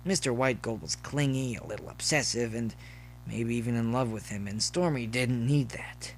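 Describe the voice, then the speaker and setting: A man's voice: medium pitch, moderate pace. A man reading scripted story narration as the narrator. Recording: faint noise behind the voice.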